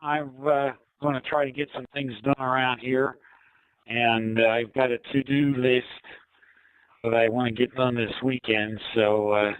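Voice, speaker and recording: An older American man in easygoing, hesitant casual chat, pausing often, heard through a digital ham radio link. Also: medium pitch, fairly even intonation, smooth, no room sound, phone-quality sound. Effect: digital ham radio voice codec. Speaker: amateur radio operator. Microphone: two-way radio microphone.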